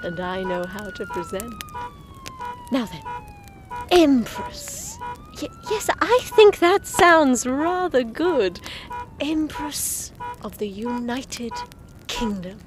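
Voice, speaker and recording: A woman's voice: high-pitched, huge pitch swings, slow pace, full character voice. A woman playing a gleeful, mocking villain who styles herself an empress in an audio drama, theatrical and taunting. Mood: triumphant. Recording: noisy scene with sirens behind.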